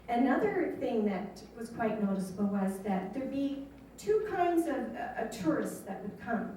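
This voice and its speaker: A woman's voice: a little high, a little mellow, a little hiss or hum. A woman in her fifties, telling amused, chatty stories as a local history speaker. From Canada. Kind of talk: reminiscing talk to an audience. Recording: echoey room from a distant mic.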